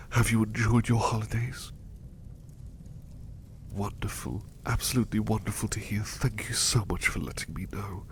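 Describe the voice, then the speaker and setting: Man playing a gentle, low-voiced devoted butler. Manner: hushed, breathy, hesitant, polite, tender. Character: gentle, devoted male butler, doting and apologetic. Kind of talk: ASMR roleplay, soft personal attention.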